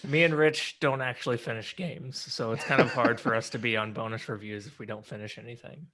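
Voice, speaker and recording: A man's voice: mid-range voice, fairly even intonation, fairly quick, sharp tone. A man with a slight lisp hosting a podcast, chatting casually about games. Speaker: podcast host. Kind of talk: casual gaming podcast chat.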